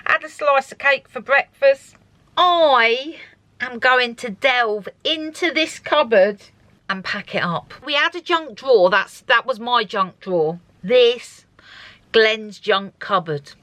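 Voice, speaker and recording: A woman's voice: fairly high pitch, slow pace, sharp tone, no room sound. A woman hosting her own video diary in an upbeat, chatty way, talking straight to the camera from around her narrowboat. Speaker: vlog host. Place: narrowboat and outdoors.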